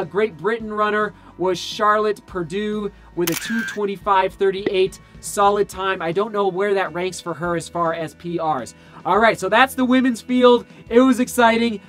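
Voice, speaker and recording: A man in his thirties, a little hoarse and tired, breaking down race results to camera in casual, rambling, enthusiastic talk from a small home studio. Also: high-pitched, a bit bright. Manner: enthusiastic, rambling with fillers and self-corrections. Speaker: running vlogger. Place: small home studio with shoe brand boxes.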